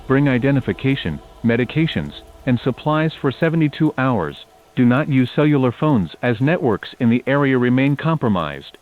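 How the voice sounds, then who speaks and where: A man's voice: medium pitch, very animated, normal speed. A man playing a stern, impersonal emergency alert announcer, reading commanding official notices through a broadcast filter. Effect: emergency broadcast phone-like filter. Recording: tinny band-limited emergency broadcast.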